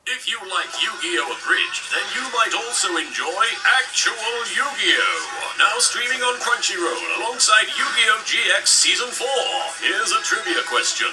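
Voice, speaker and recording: A man's voice: sits high, expressive, normal speed, few pauses, recorded from a distance, loud music behind the voice, low-quality recording. A man voicing a cocky, goofy young pharaoh duelist in a theatrical, boastful parody cartoon style. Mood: upbeat promo. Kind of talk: comedic anime parody dub.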